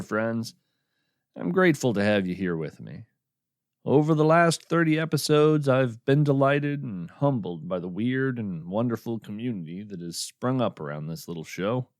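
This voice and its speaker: A man playing a whimsical naturalist host, lively intonation. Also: mid-range voice, no room sound, studio quality, lightly acted. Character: kindly, grateful, whimsical male cryptid naturalist podcast host. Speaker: podcast host. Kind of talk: scripted fiction podcast narration.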